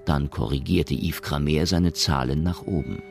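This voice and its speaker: An extremely deep, gravelly man's voice narrates a radio drama over music. The storytelling is sober and measured. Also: darkish tone. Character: sober male storyteller of a science fiction tale. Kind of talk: scripted radio play narration.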